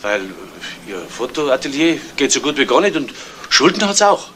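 A man's voice: medium pitch, very animated, normal speed, fairly bright tone. A man playing a good-natured, flustered junior policeman with a Bavarian accent, colloquial and stammering, in 1970s radio drama style. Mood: defensive, flustered. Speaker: actor. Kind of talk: 1970s German radio crime drama acting. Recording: noisy old 1970s radio play recording.

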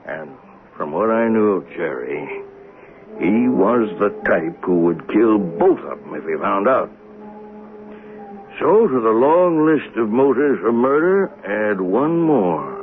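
A man's voice: lowish voice, very animated, slow pace, faint music behind. An actor playing an earnest train conductor in a 1970s radio mystery, telling his story reflectively and questioning pointedly. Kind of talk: 1970s radio drama acting, narration and dialogue. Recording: noisy, muffled phone-like 1970s radio broadcast.

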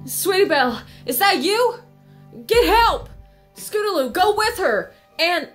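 Actress as hard-working adult mare, protective sister, audio drama, high pitch, faint music. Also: female voice, sing-song, slow pace, fairly bright tone, heavily acted. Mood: frightened. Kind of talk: fan audio drama acting.

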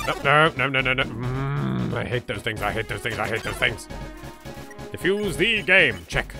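A man's voice: mid-range voice, gravelly. Sarcastic English man gaming. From England. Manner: dry, sarcastic quips. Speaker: let's play voice actor. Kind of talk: sarcastic gameplay commentary. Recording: game music behind.

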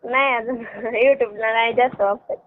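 A woman's voice: fairly high pitch, steady tone, measured pace, a little hiss or hum. A young woman with a rural western Maharashtrian Marathi accent, chatting casually on the phone. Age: young adult. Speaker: social media video performer. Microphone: phone line. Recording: tinny phone call recording.

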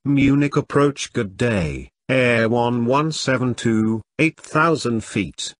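Measured man reading pilot radio phraseology slowly. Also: mid-range voice, lively intonation. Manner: measured, formulaic. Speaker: pilot in an English lesson. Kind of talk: scripted radio phraseology readback.

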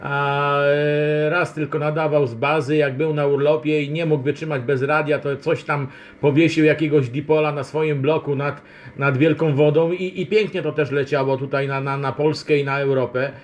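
A man with a somewhat high-set voice chats as an amateur radio operator. His delivery is casual and rambling, with a friendly way of greeting fellow operators. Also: level tone, normal speed. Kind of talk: casual radio contact chat.

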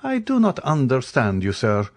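A man playing a calm, commanding count. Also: medium pitch, voice pushed up. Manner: measured, lofty, quietly authoritative. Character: calm, commanding male count with cool dignity.

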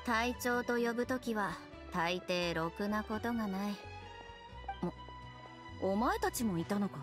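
A woman playing a stern young patrol captain in a game, formal, deadpan and authoritative. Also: mid-range voice, measured pace, music in the background, natural voice. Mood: dry, wary.

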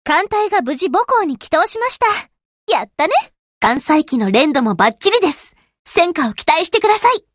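A young anime warship girl for a game, devoted and cheerful. Her voice is extremely high and bright, with a perky, girlish delivery that swings widely in pitch. She speaks with sweet familiarity, like an earnest secretary. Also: very animated, normal speed, dry recording, studio quality, heavily acted. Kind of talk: Japanese game voice acting, short scripted lines.

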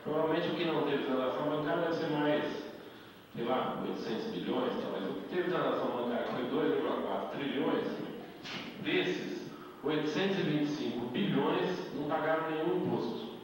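A man's voice: a little high, moderate pace, a little hiss or hum. A middle-aged man with a Brazilian Portuguese accent giving a lecture on a handheld mic, explaining step by step and tagging rhetorical questions. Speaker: invited speaker. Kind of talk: lecture at a debate event. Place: indoor meeting hall. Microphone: handheld mic, filmed by a far camera. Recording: echoey hall audio from far off.

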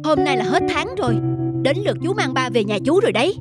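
An actor playing an irritable, ungrateful eldest son in a cartoon folk tale, curt and complaining. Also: male voice, extremely high pitch, sing-song, quick pace, over loud music. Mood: irritated.

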